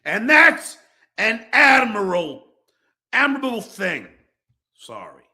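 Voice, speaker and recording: Very slow-talking man on an angry rant. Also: high-pitched, sing-song, pushed and bright. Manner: confrontational, profane, emphatic with dramatic pauses. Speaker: online show host. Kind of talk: angry personal rant addressed to an absent rival.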